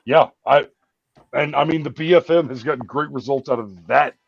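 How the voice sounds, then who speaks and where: A man's voice: medium pitch, normal speed, vocal fry, no room sound. Chatty braggy guy on a live call, dull lo-fi webcam sound, pretty dry. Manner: chatty, boastful, rambling. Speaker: YouTube show host and video producer. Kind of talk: casual chat on a live show. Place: home, on a video call. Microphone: webcam microphone.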